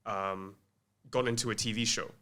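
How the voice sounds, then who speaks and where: A man's voice: on the low side, steady tone, moderate pace, pushed and bright. A man in his twenties with an English accent, chatting as a podcast guest about his career, self-deprecating and full of ums and restarts. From Malaysia. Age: 20s. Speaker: guest, agency co-founder. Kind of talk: casual podcast interview.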